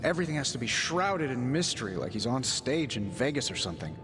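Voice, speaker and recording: A man's voice: medium pitch, very animated, fast talker, in a large hall, noisy background, close to an everyday voice. Man playing a cocky wisecracking inventor hero, swooping delivery. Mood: glib, teasing. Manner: glib, teasing, sarcastic. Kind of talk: video game cutscene acting.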